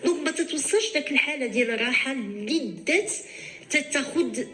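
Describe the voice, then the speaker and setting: Moroccan woman, doctor on a call-in show, quick, slightly high voice, switches to french a lot. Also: expressive, fairly bright tone. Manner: fast Darija mixed with French, many restarts. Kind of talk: radio call-in advice.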